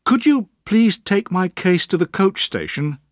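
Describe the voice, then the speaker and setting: A scared young boy telling his troubles in a raised, pleading voice, as a male narrator puts him on. Politeness and tears color every word, and the pitch rises and falls a lot. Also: lively intonation, a little slow, no echo, clean studio sound. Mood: polite. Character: frightened, earnest runaway young boy.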